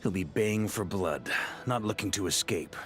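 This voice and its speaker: An actor playing a steady, commanding knight grand master in a video game, speaking with measured authority. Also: male voice, sits low, music in the background, lightly acted. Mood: confident, commanding.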